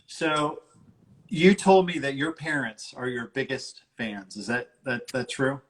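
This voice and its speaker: A man's voice: medium pitch, measured pace. A middle-aged man hosting a casual live interview over a video call, talking in an informal, chummy way. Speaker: interview show host.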